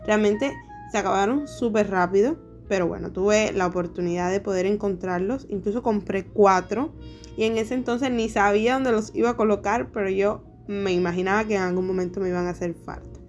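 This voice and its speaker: A young adult woman narrating her home decor vlog in a chatty, relaxed way. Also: mid-range voice, fairly quick. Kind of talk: casual voice-over vlog narration. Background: soft background music.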